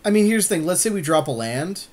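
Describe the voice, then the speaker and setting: A man's voice: high voice, big pitch swings, fast. A man co-hosting a podcast, casually and agreeably talking through a card game with his partner. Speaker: podcast co-host. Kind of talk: casual chat while playing a card game. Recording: clear background noise.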